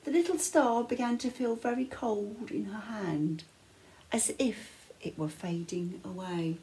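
An older woman reading a children's bedtime story aloud with measured, dramatic phrasing and pauses. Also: medium pitch, warm tone, a little hiss or hum. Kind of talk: expressive picture-book read-aloud. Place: small living room, indoors.

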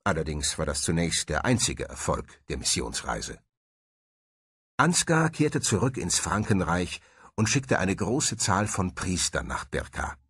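Husky, expressive male narrator. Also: low voice. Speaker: documentary narrator. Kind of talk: scripted historical narration.

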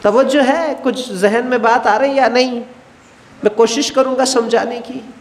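Animated high-voiced male cleric. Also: a little slow, roomy sound, in a large hall. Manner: animated, gestures widely, acts out everyday dialogues. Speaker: Shia cleric lecturing. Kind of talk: religious lecture. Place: studio with a blue backdrop, indoors. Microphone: headset mic.

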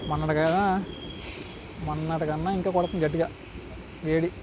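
Male travel vlogger, Andhra Telugu accent, high-pitched and chatty, casual delivery over clear train and outdoor noise. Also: little pitch movement, brisk. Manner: chatty, addresses viewers directly. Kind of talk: casual travel vlog commentary. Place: on board a moving train. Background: train rumble and outdoor ambience.

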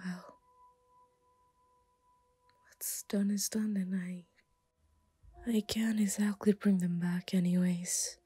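A woman voicing an obsessive, self-aware anime schoolgirl in an ASMR roleplay, soft and often whispering, shy, hesitant and stammering as she pleads. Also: medium pitch, level tone, takes it slowly, pauses often, slightly rough, no room sound. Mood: resigned, pleading.

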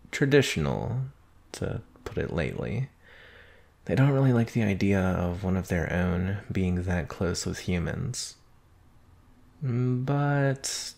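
Man acting a shy, creaky-voiced young werewolf. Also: deep voice, a little mellow, exaggerated voice. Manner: hesitant, bashful, halting, sighing. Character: shy, nervous young male werewolf student with a crush. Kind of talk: intimate ASMR roleplay to the listener.

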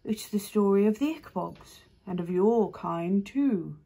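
A woman voicing an enormous, gentle creature that speaks slowly and solemnly in a deep voice, reading a storybook. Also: animated, gravelly, slightly rough, full character voice, low-quality recording. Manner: slow, grave, deliberate.

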